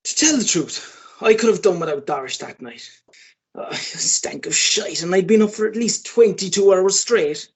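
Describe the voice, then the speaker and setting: A woman's voice: mid-range voice, expressive, moderate pace, distant mic, close to an everyday voice. A woman playing a weary, evasive man in a radio play, with an Irish accent and a hesitant, stammering delivery. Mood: weary, disgusted. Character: weary, evasive, grubby man fending off his lover.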